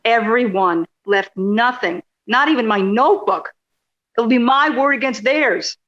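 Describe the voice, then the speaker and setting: A sarcastic woman reporter played by an actress in a radio drama. Her voice sits a bit high, with wry, indignant delivery, and the sound is slightly dull and muffled. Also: a little slow, a bit bright. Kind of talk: radio play dialogue.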